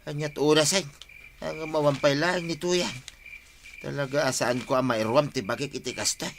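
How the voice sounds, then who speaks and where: A man's voice: a little high, fairly even intonation, moderate pace, close to an everyday voice. Man acting an anxious husband over noisy cricket ambience. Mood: worried. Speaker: radio drama actor. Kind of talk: radio drama acting in Ilocano. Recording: noisy track with chirping crickets.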